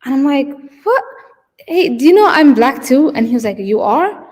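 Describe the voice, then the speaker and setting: A woman telling her own stories at a conference over a video call. She speaks haltingly, circling back with fillers and restarts. Her voice rings out with a long, hall-like reverb. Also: high-pitched, very animated, darkish tone. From Somalia. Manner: hesitant, many fillers and restarts. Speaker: keynote storyteller. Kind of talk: personal storytelling.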